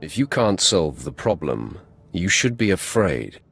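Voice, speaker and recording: Game lead: menacing dark warrior, very low voice with dramatic swings, curt, imperious, cold. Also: male voice, sing-song, unhurried, gravelly, slightly rough, soft background music, exaggerated voice. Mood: threatening. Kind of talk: video game character acting.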